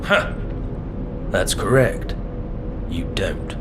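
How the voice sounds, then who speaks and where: A man playing a cold, exacting military scientist in a video game, speaking in a measured, condescending lecture. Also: sits low, lively intonation, heavily acted. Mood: cold, lecturing. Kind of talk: scripted video game voice acting. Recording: music behind the voice.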